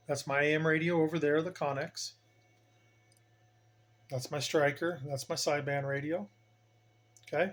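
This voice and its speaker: A man giving a casual hands-on demonstration as a hobbyist host, plain-spoken and colloquial, with emphatic turns of phrase. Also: a little high.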